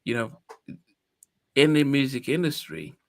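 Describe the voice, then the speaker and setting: A man's voice: medium pitch, unhurried, dry recording. A man in his fifties, from Nigeria, hosting a podcast in a reflective, hesitant way with many restarts. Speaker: podcast host. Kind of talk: casual interview chat.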